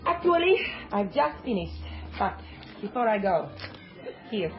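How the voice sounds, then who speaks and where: An actress playing a brisk, cool bar worker, blunt and dramatic, in a radio drama. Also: female voice, mid-range voice, big pitch swings. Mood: cool, cutting. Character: brisk, cool woman working at a Cuban bar. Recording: dull audio under loud music.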